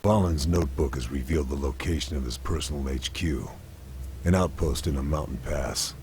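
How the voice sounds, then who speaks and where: Game lead: grim, methodical sniper, extremely low voice, terse measured narration over music. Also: male voice. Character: grim male sniper soldier, calm, methodical, secretive. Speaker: protagonist narrator.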